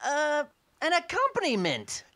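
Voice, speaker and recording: High, bouncy little cartoon boy dragon. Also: huge pitch swings, heavily acted. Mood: excited. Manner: exclamatory, playful, drawn-out delivery. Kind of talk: animated children's cartoon dubbing. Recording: loud music under the voice. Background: music.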